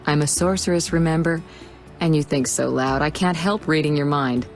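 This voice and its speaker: A poised, knowing sorceress for a fantasy game. Her voice sits fairly low and stays composed and shrewd. Soft music runs behind it. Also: normal speed. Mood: calm, knowing. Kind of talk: video game voice acting.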